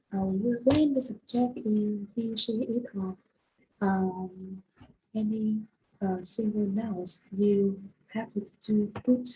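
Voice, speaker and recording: A woman's voice: mid-range voice, warm tone, husky, rough recording. A young woman in her twenties with a Vietnamese accent, teaching a recorded grammar lesson with many hesitations and fillers. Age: 20s. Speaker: English teacher.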